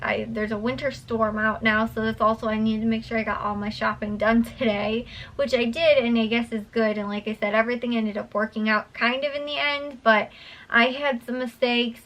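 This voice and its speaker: A woman's voice: a little high, steady tone, normal speed, fairly bright tone, poor audio. A tired young woman vlogger chatting casually to her viewers at home at night, rambling with lots of fillers and restarts, her voice a little worn. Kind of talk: casual chat to camera.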